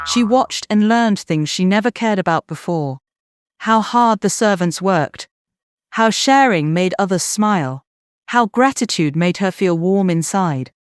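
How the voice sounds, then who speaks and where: A woman narrating a children's bedtime story in an expressive, scripted way. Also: medium pitch, clean tone, dry recording, studio quality.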